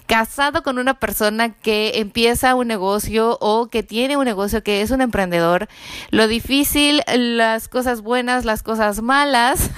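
A woman's voice: on the high side, clear, resonant tone, dry recording. Chatty woman podcast host. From Mexico. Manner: chatty, laughs often. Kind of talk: casual podcast interview.

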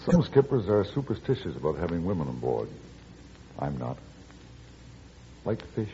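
Earnest old-radio sea captain in love, low voice with big swings, muffled recording. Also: male voice, very animated, mellow, a little hiss or hum. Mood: tender, wooing. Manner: deliberate, halting, earnest. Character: sincere, lovelorn navy skipper, protective and earnest. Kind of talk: old-time radio drama acting.

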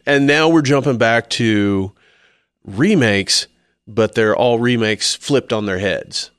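A man's voice: medium pitch, takes it slowly, a bit bright, clear, resonant tone, no echo. Hesitant man in his forties, dry sound. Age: 40s. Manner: hesitant, restarts often. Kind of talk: casual chat. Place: indoor room.

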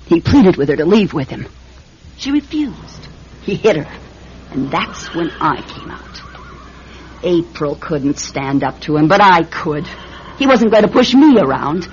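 A taunting, unhinged radio villainess. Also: female voice, sits low, a little mellow. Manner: mocking, theatrical. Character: taunting, unhinged woman, murderous split personality. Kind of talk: dramatic radio acting. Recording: dull, noisy radio drama recording.

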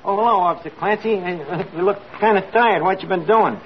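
An actor playing a gullible, good-natured tavern worker with a New York accent and ungrammatical street talk in an old radio comedy. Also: male voice, high-pitched, brisk, natural voice. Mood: grateful. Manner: ungrammatical working-class wisecracking. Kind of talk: 1940s-50s radio comedy acting. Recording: muffled old radio, heavy noise, audience.

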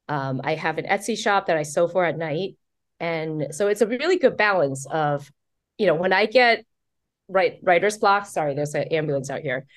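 Lively, low-voiced woman telling stories. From Taiwan. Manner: animated, excited storyteller who acts out quotes. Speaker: author and guest. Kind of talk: casual interview chat.

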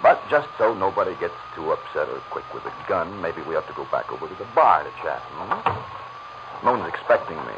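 Deep, smug, swinging-voiced gangster henchman. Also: very animated, normal speed, gravelly, exaggerated voice. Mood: smug taunting. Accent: American. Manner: taunting, drawling, sardonic. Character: smug, mocking gangster henchman who won't bend. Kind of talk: 1940s radio crime drama acting. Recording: noisy, muffled old radio recording.

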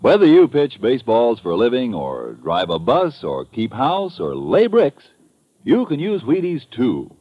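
A man's voice: mid-range voice, huge pitch swings, unhurried. An American man announcing a 1950s radio commercial in an emphatic, folksy selling style. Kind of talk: 1950s radio advertising pitch. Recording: muffled, echoey old radio recording.

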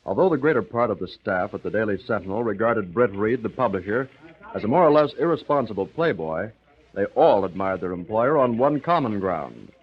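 Dramatic old-time radio serial announcer, muffled. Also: male voice, fairly low pitch, lively intonation, a little fast, husky. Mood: earnest, measured. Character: stirring male announcer of a crime-adventure serial. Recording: dull old radio recording, crowd-like din behind.

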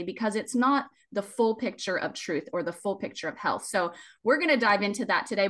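A middle-aged woman hosting a faith-based podcast, warm and enthusiastic, affirming her guest as she talks. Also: medium pitch. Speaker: podcast host. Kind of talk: podcast interview and sponsor appeal.

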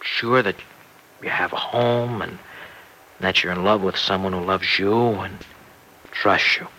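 An actor playing an earnest, anxious young farmer husband in an old-time radio drama, with a halting, tender way of talking. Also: male voice, sits low, steady tone, sharp tone, full character voice. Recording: noisy vintage radio recording.

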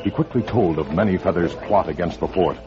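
A man's voice: low voice, brisk. A man narrating a western adventure in a dramatic, scripted 1940s radio style. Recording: muffled old radio recording with music.

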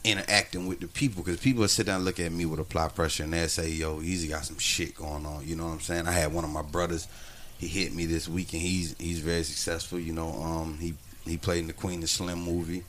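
Laid-back man in his thirties, bright tone. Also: deep voice, little pitch movement, fast, few pauses, slight background noise. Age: 30s. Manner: loose, slangy, laid-back storytelling. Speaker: podcast host. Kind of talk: casual chat.

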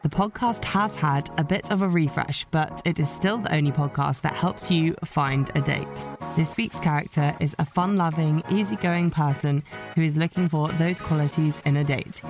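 A woman hosts a podcast from a studio, chatting in a bubbly way and laughing often. Her voice sits a little low. Faint music plays behind parts of her talk. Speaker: podcast host. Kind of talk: casual chat with scripted intro. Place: recording studio.

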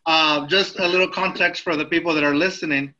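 A man's voice: on the high side, steady tone, a little fast, dull audio, background noise. A man hosting a casual podcast who talks in a chatty way, laughing between phrases. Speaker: podcast host.

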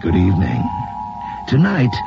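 An actor playing a sinister, droll host of horror tales, giving a theatrical radio introduction in a gravelly voice. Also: male voice, sits low, wildly swooping pitch, unhurried, exaggerated voice. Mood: wryly macabre. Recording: dull old radio broadcast with music.

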